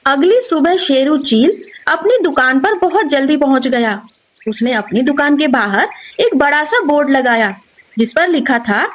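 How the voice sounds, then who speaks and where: A woman narrating a scripted moral story for children as the storyteller. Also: high-pitched.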